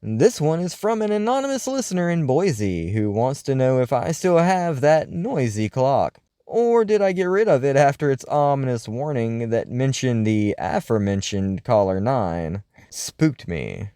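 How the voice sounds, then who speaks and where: A man's voice: mid-range voice, very animated, a little slow, hardly any pauses, dark tone, smooth, no echo, clean studio sound. A man working as a host, reading listener mail in a scripted radio-show style with a theatrical, wry, musing delivery.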